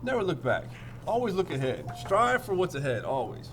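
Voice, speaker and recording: A man's voice: sits high, sing-song, moderate pace, a little hiss or hum. A man teaching through a storybook read-aloud, playful and theatrical, repeating phrases and chatting to his pupils.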